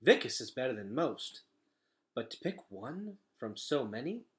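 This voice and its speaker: A man voicing a mild-mannered man of an underground kingdom, speaking in measured, formal, archaic phrases. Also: mid-range voice, big pitch swings. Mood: thoughtful.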